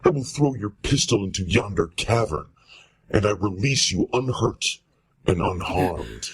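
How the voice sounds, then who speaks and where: A man playing a menacing, vengeful monster in a horror radio play, speaking huskily with slow, ominous deliberation. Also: fairly low pitch, animated, slightly rough, no echo, heavily acted. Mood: gloating. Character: menacing male monster, vengeful creation tormenting its maker. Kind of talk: amateur radio-play style horror acting.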